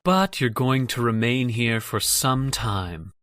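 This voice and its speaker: An actor playing a scheming, cold-blooded murderer who hides behind a respectable front in an old-time radio horror drama. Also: male voice, medium pitch, expressive, slow, fairly bright tone, a little hiss or hum. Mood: gloating menace.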